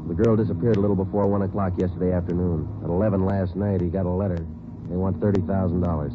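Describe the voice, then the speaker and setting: An actor playing a terse, deadpan police sergeant who talks in clipped, matter-of-fact lines, in 1940s radio acting. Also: male voice, deep voice, fast talker, lightly acted. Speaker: homicide detective sergeant and narrator. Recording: tinny hissy old radio broadcast.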